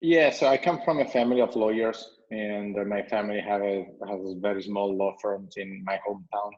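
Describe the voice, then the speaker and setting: A man in his thirties from Italy with an Italian accent, a guest on a video call interview, speaking in a measured way with many restarts. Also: on the low side, fairly even intonation, darkish tone. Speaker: guest, company founder. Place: bright room at home with a window. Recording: dull, lo-fi call audio.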